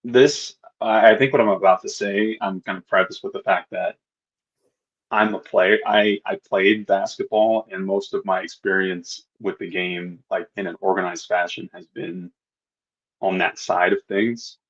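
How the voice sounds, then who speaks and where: A man's voice: low-pitched, fairly even intonation, measured pace, a bit bright, slightly rough, muffled recording. A man co-hosting a podcast, thinking aloud with hesitant restarts. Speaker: podcast co-host. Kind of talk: casual sports chat.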